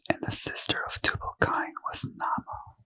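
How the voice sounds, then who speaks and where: A man reading scripture aloud as a soft, slow ASMR whisper, gently lilting. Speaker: reader.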